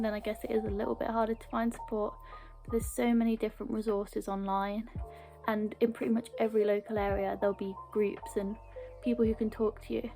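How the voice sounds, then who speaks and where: A woman's voice: a little high, vocal fry, dark tone. A young British woman with a mild stammer who talks earnestly to camera in a casual personal style and sometimes repeats small words. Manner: earnest, with small word repetitions. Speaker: video blogger. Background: background music.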